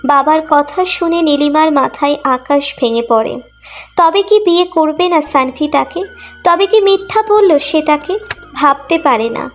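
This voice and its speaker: A woman's voice: high voice, unhurried, soft background music. A woman reading a scripted emotional romantic story as its narrator.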